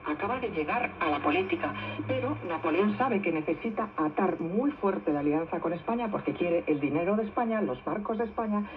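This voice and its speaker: A woman's voice: fairly low pitch, phone-quality sound, a little hiss or hum. A woman, a novelist guest explaining history in a radio interview, often ending her points with tag questions. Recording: thin shortwave radio reception.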